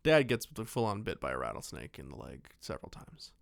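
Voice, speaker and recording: A man's voice: low voice, wildly swooping pitch, brisk, vocal fry, no echo, studio quality. A man co-hosting a podcast, giving a casual, joking film recap in a rambling, loose-tongued way. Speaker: podcast co-host.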